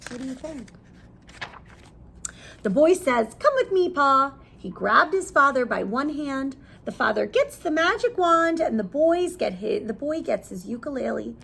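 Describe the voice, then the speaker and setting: High-pitched woman reading aloud to children. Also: sing-song, unhurried, creaky, fairly bright tone, breathy edge. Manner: explains words simply and puts questions to young listeners. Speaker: teacher reading a picture book aloud to children. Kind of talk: animated read-aloud with questions and word explanations.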